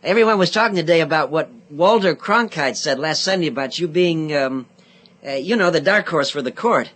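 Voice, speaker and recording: A man's voice: a little high, expressive, a little fast, a bit bright. An actor in a radio drama playing an eager, chatty young man, boyish, polite and rambling. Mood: cheerful, chatty. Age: young adult. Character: eager, chatty young male Senate page.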